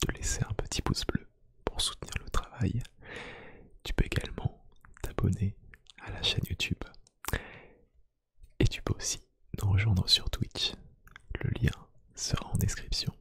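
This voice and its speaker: A man doing a soft-spoken ASMR roleplay, gently whispering one-to-one to the listener in a hushed, breathy voice. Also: sits low, steady tone, measured pace, long pauses, no echo. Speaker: ASMR YouTube creator. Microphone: close microphone.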